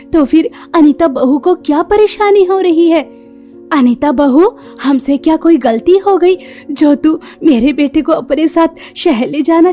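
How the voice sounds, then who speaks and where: A long-suffering, motherly village woman from a cartoon family drama, played by a woman. She speaks tenderly in a high, soft-toned voice, as a mother does to her grown children. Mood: hurt, questioning. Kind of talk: Hindi cartoon story voice acting.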